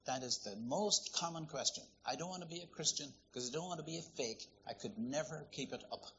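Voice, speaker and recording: A man from Ireland, preaching a sermon in a lively, conversational, storytelling way. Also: a little high, fairly quick, muffled recording, slight background noise. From Northern Ireland.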